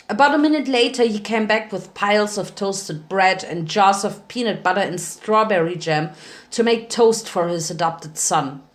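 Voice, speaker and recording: A woman narrating fan fiction as a dramatic reading. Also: medium pitch. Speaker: story narrator.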